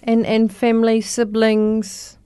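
A woman's voice: on the high side, completely flat delivery, slow, smooth, dry recording, clean studio sound. A woman hosting a radio interview show, reading a scripted introduction and then drawing out her guest with encouraging questions. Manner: encouraging, warm prompts, reads scripted intro.

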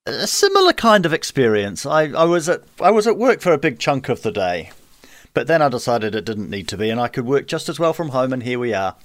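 A man hosting a casual interview podcast from home, asking questions in a relaxed way. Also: medium pitch, fairly quick, no echo. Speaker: podcast host.

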